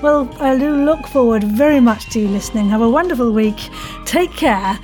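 A woman podcast host with a fairly high voice talks in an effusive, chatty style. She is playful with her words and addresses guests and listeners directly. Music sits lightly behind her. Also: normal speed, dark tone, low-quality recording. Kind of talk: chatty interview and sign-off to listeners.

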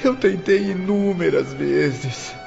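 A man's voice: high-pitched, takes it slowly, vocal fry, a little mellow, dull audio, soft background music, heavily acted. A man playing a bitter young orphaned prisoner in a melodramatic radio play, lamenting tearfully. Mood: sorrowful lament. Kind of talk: melodramatic radio drama monologue.

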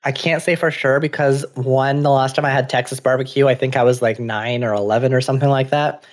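A man's voice: medium pitch, steady tone, a little fast. Chatty man on a stream. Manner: chatty, many "like" fillers. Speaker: stream co-host. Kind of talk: casual chat.

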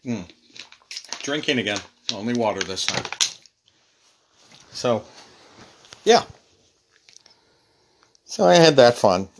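A man's voice: medium pitch, big pitch swings, slow pace, pauses often, slight background noise. A man hosting his own podcast, talking alone in a rambling, wry, self-deprecating way. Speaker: podcast host. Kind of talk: casual solo monologue.

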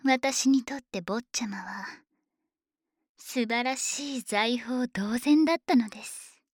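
An obsessive dragon maid, acted by a woman, murmurs in a high, breathy voice for an ASMR drama. She speaks slowly and politely, like a devoted servant, with a teasing, possessive menace. The sound is very close and dry. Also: no room sound. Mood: gloating, doting. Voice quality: breathy, whispery. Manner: slow, honorific servant speech, softly teasing. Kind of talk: Japanese ASMR situation voice drama. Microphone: close microphone, dry studio recording.

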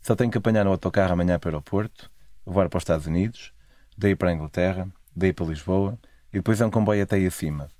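A man's voice: low-pitched, very fast, mellow, dry recording, clean studio sound. A man with a European Portuguese accent narrating a scripted, literary first-person travel story. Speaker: narrator of own travel stories.

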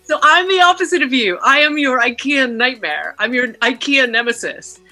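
A middle-aged woman from Canada chats as a podcast guest over a video call. Her voice is bright and forward, and she talks in a lively, animated way with faint music behind her. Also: high voice, normal speed. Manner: lively, laughing, animated storyteller. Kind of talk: casual interview chat.

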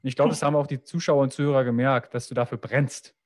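A man hosting an interview podcast, thanking his guest and pointing listeners to the show notes in a friendly, brisk manner. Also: mid-range voice, steady tone, a little mellow. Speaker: podcast host.

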